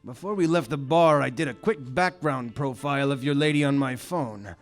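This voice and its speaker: An actor playing a loyal, laid-back island sidekick who encourages the detective, in a live comedy radio play. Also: male voice, mid-range voice, normal speed, soft background music, natural voice.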